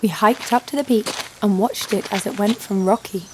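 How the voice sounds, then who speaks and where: A woman's voice: mid-range voice, moderate pace, noisy background, lightly acted. A woman playing a tough, sardonic knife fighter who tells her own story in an audio drama. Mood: dry, tense. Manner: dry, sardonic. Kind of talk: scripted first-person audio drama narration. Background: music.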